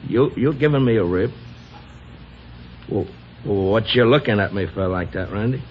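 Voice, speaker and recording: Man playing a low-voiced, stammering, punch-drunk American boxer. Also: normal speed, lightly acted. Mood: confused, anxious. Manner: stammering, repeating words, childlike and pleading. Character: sweet, slow, punch-drunk down-and-out boxer, gentle and anxious. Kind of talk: old-time American radio drama acting. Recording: dull, noisy old radio recording.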